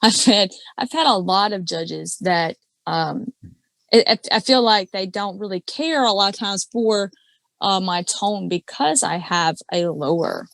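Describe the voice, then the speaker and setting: A woman's voice: a little slow, gravelly, a bit bright, no echo. A woman, chatting casually as a podcast guest and explaining singing with lots of fillers and restarts. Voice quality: low alto voice. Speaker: podcast guest, karaoke singer and judge. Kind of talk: casual chat.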